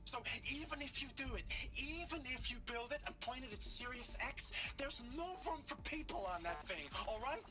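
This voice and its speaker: An actress playing an agitated, scornful space expert in a video game, blunt, sarcastic and emphatic in argument. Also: female voice, high voice, lively intonation, normal speed, hardly any pauses.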